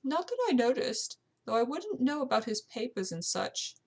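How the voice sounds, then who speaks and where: A woman's voice: fairly high pitch, sing-song, moderate pace, vocal fry, a little mellow, pitched above the performer's own voice, full character voice. A woman voicing a proper, tearful older English housekeeper with a put-on British accent, in an audiobook-style story. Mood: cooperative. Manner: proper, deferential, old-fashioned servant speech. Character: tearful prim elderly English housekeeper, loyal servant.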